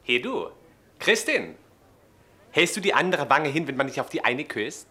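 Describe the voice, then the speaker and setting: A man's voice: a little high, very animated, normal speed, pushed and bright. Cheeky, swooping young Roman nobleman. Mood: leering teasing. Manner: mocking, theatrical, leering. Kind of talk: German radio play acting.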